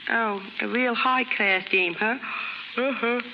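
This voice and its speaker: A woman's voice: a little high, slow pace. A woman acting a naive, streetwise, poor young American chorus girl in slangy, ungrammatical speech on a 1930s radio drama. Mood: contented, curious. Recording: muffled, very noisy, reverberant 1930s radio recording.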